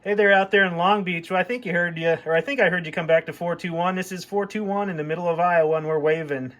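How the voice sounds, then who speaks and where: A man's voice: high voice, steady tone, quick pace, fairly bright tone. A man giving a casual hands-on demo and calling out as a CB radio hobbyist. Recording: clear background radio noise.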